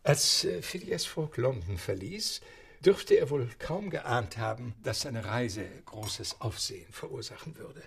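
An actor playing a genteel, wry butler who narrates a radio play and makes asides to the listener. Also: male voice, medium pitch, animated, measured pace, creaky, breathy edge, full character voice. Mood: wry, courteous. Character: James, a genteel English butler telling the tale.

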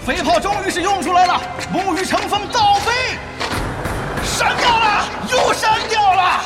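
A man playing an excitable, emphatic esports play-by-play commentator in an audio drama, prone to dramatic exclamations. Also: extremely high pitch, unhurried, reverberant, music in the background. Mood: thrilled, urgent. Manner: emphatic, exclamatory, building to shouts.

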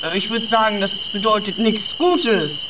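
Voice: mid-range voice, a little slow, close to an everyday voice. An actor as a dry, exasperated escape companion. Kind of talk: comedy radio play acting. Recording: clear outdoor night noise, insects and owls.

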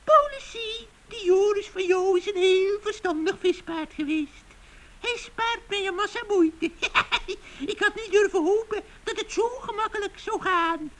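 A woman's voice: extremely high pitch, measured pace, a bit bright. A woman voicing a gloating, scheming witch in an old children's radio play, cackling and taunting with cooing nicknames.

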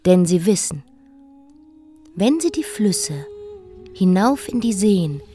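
A young queen narrates her life in a radio play. She is defiant and speaks at a medium pitch, with faint music behind her. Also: big pitch swings, slow. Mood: hushed, musing.

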